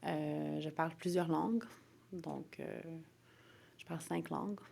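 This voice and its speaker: A woman with a very low voice and a clear vocal fry gives conversational interview answers. She has a Quebec French accent and feels her way through sentences, repeating and rephrasing. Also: fairly even intonation, unhurried, warm tone. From Montreal, Quebec, Canada. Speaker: interview guest, lawyer.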